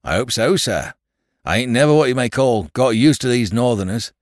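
A man's voice: mid-range voice, expressive, moderate pace, dry recording, studio quality, lightly acted. A man voicing an eager, talkative Cockney pub landlord of about fifty-five, in a London Cockney accent. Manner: chatty, eager, dropped grammar.

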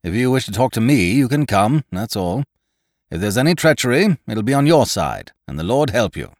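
A male reader puts on a stern storybook sea captain. He is clipped and scornful, giving orders in a raised, forceful pitch that moves widely, with a defiant edge. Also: wildly swooping pitch, slow, no echo, clean studio sound. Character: Captain Smollett, stern, curt, defiant commanding officer.

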